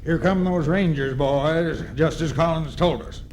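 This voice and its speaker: A man's voice: fairly high pitch, a little slow, a crowd in the background, lightly acted. A man playing a ruthless, commanding outlaw gang leader in an old-time western radio play. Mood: scheming. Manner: barking, commanding.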